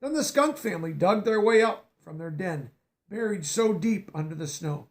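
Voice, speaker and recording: A man's voice: high-pitched, expressive, moderate pace. A man reading a picture book aloud with expression, stopping now and then to explain words for young listeners. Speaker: storybook reader.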